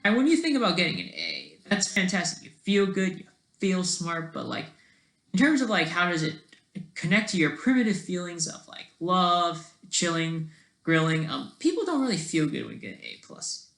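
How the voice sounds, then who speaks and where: A person thinking aloud in a casual, digressive commentary over study notes, halting often and talking in an informal, self-deprecating way. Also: medium pitch, wildly swooping pitch, creaky, fairly bright tone. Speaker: solo online commentator.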